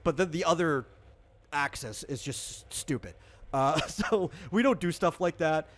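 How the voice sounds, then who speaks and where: A man's voice: fairly high pitch, expressive, measured pace, fairly bright tone, slight background noise. A man casually walking a player through a tabletop game as game master, rambling, explanatory and freely opinionated.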